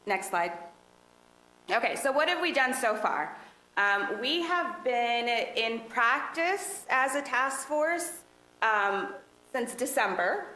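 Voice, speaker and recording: A woman presenting to a city council work session, speaking loudly in a relaxed, conversational way with many fillers. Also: on the high side, takes it slowly. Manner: loud voice. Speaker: task force presenter. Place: council meeting room. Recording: echoey meeting room with faint noise.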